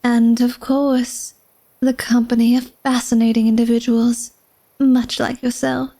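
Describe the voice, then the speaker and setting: A woman's voice: a little high, flat delivery, unhurried, warm tone. A woman playing an elegant, secretive vampire lady of a manor in a flirtatious ASMR roleplay, speaking formally and coyly to the listener. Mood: teasing, dismissive. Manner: formal, genteel, coy, teasing speech addressed to the listener.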